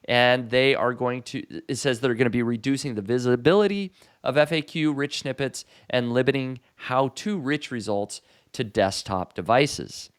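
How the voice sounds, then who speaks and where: A middle-aged man hosting a casual podcast chat on a boom mic, relaxed and easygoing, with frequent "uh" and "um" fillers. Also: normal speed. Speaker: podcast host. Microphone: studio boom mic.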